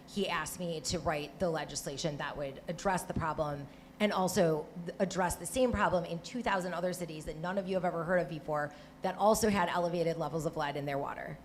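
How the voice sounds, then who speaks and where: A woman's voice: sits low, brisk, a little hiss or hum. A woman with a Michigan accent speaking on a live panel discussion about water policy, talking conversationally with frequent restarts and "right?" tags. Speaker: panelist, former Senate staffer.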